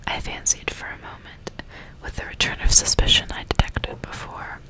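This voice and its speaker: A woman reading a story aloud in a slow, hushed, breathy whisper for ASMR. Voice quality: breathy, fully unvoiced whisper.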